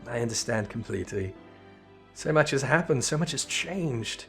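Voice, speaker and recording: A man's voice: mid-range voice, animated, moderate pace, vocal fry, fairly bright tone. An earnest young sultana , voiced by a man, speaks in formal, courtly sentences over music. She is a regal game ruler, gracious and full of remorse, and measures what she says. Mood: earnest, reflective. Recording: music behind the voice.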